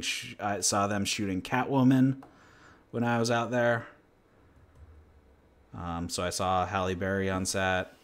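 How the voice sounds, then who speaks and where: A man from New Hampshire hosts a relaxed chat on his channel. His voice sits a bit low, and he rambles from one story to the next at an easy, unhurried pace. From New Hampshire, USA. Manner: rambling, laid-back storytelling. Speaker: channel host. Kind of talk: casual livestream chat and Q&A.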